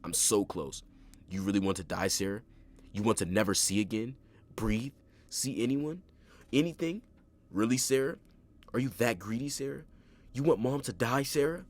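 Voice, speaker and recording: A man's voice: mid-range voice, moderate pace, pauses often, pitched above the performer's own voice, close to an everyday voice. A man voicing a menacing male killer who coaxes and threatens over and over. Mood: wheedling menace. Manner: coaxing then threatening, repetitive. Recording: clear background noise.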